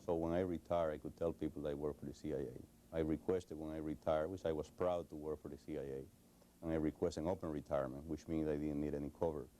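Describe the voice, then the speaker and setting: Deep, flat-voiced Cuban-accented man. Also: fast talker, a little hiss or hum. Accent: Cuban Spanish. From Cuba. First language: Spanish. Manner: hesitant, many fillers and restarts. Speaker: interview guest. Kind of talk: recorded television interview.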